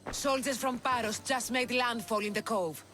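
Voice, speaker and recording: A man's voice: very high-pitched, moderate pace, music in the background. A man playing an urgent soldier reporting to his leader in a video game. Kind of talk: video game cutscene acting.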